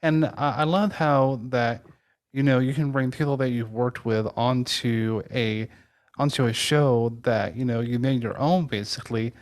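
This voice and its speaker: Very deep-voiced woman co-host. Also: unhurried, darkish tone. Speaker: interview co-host. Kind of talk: casual chat.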